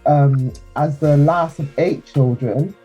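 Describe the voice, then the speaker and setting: A woman from England speaks as a radio guest in an earnest, reflective manner. Her voice is lowish, mellow and husky, with faint music in the background. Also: takes it slowly, muffled recording. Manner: warm, earnest, reflective storytelling. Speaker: author and guest. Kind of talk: radio interview.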